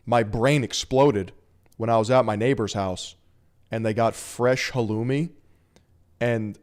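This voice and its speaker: American man streaming, slangy jokey commentary, mid-pitched, swears a lot. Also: steady tone, measured pace. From United States. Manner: slangy, joking, swears freely. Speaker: streamer reacting to a video. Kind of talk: casual reaction commentary.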